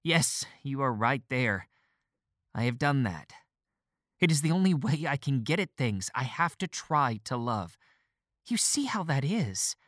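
A man voicing a weary, agitated male doctor who turns shrill and overwrought. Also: very animated, a little slow, a bit bright, no echo, studio quality. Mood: weary pleading.

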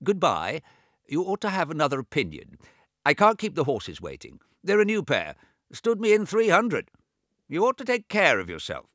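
A man doing a shrill, fussy deaf old man. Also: wildly swooping pitch, normal speed, fairly bright tone, dry recording, clean studio sound, full character voice. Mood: nagging. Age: over 70. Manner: fretful, repetitive, nagging.

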